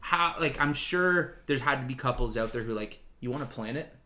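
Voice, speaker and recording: A man's voice: mid-range voice, fairly quick, pushed and bright. A man hosting a casual podcast, joking and bantering with frequent profanity.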